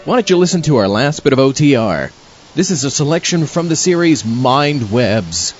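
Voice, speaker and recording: A man's voice: mid-range voice, very animated, moderate pace, lo-fi sound, close to an everyday voice. A man playing a villain's helpful zombie sidekick and campaign manager in a comic audio drama. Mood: upbeat advising. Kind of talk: comic audio-drama acting and show hosting.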